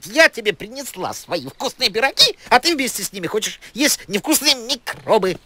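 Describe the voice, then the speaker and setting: A bright, high cartoon owl lady of mature years acted by a man. She is fussy and motherly. Her lecturing swings up and down in pitch. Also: sing-song, moderate pace, dry recording, heavily acted. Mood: scolding. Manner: scolding, instructive, caring. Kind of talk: animated cartoon dialogue.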